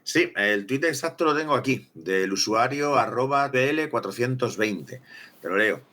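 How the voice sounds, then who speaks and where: A man's voice: medium pitch, lively intonation, moderate pace, bright, forceful tone. A man playing an opinionated author, talking emphatically as a guest on a conversational podcast held over a video call. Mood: sardonic, emphatic. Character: opinionated male author debating conspiracy theories. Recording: video call with faint noise.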